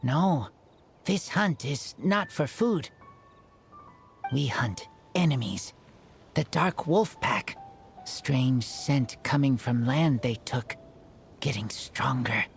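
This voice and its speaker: Wolf boy from a game, broken short words, slow talker, lively pitch. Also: faint music behind. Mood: grave. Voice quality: clipped broken speech, slow and gruff. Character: wild young man raised by wolves, terse. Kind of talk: game character dialogue.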